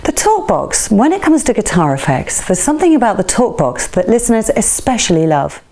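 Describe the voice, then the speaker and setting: A woman presenter reading a scripted radio-style feature in a measured, presenterly way. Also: mid-range voice, sing-song, a little mellow. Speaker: presenter of a rock music feature.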